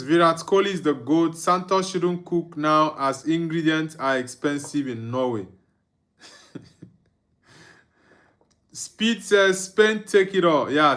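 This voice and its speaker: Slow-talking young man streaming a football watchalong. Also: a little high, a bit bright. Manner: reads viewer comments aloud, chuckles, hums and sings under his breath. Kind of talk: casual live match commentary and chat reading.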